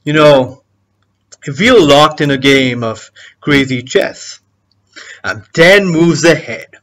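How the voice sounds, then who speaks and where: A man performing a theatrical monologue as a smug, menacing schemer, taunting his victim in a deliberate, sardonic way. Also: on the high side, lively intonation, fairly bright tone, exaggerated voice.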